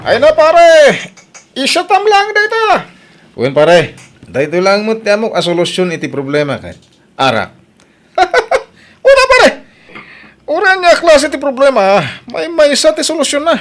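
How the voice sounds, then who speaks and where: Man acting volatile Ilocano husband, swooping pitch. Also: unhurried, long pauses, full character voice. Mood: boisterous, drunk. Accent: Ilocano Filipino. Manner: emphatic, expressive. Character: exasperated Filipino husband, defiant and emotional. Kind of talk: radio-style audio drama acting.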